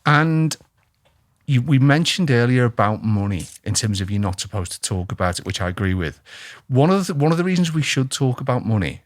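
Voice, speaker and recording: A man hosts a podcast interview on a close, dry mic, his pitch swinging widely as he talks. He sounds eager and encouraging, and his questions keep the chat going. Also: big pitch swings, a little fast, no echo. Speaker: podcast host, CEO.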